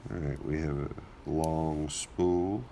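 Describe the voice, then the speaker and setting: A man with a very deep, gravelly voice, still recovering from a cold, talks through his work at an easy pace as if thinking aloud. A faint hiss sits under the recording. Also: slow pace. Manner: relaxed, thinking aloud while working. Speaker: lockpicking channel host. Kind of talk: casual hands-on narration.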